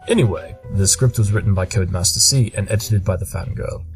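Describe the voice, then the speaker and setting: An actor playing an aloof pony detective, reading audio drama credits in a dry, formal, dismissive manner. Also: male voice, fairly low pitch, brisk, a little mellow, faint music behind, close to an everyday voice.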